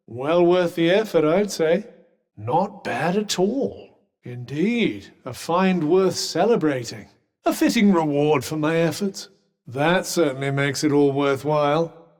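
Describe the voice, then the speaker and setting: A British actor voicing a witty, haughty magical cuff in a video game, speaking in a dry, wordy, formal way. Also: male voice, on the high side, lively intonation, slow, darkish tone, in a large hall. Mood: dry, sardonic.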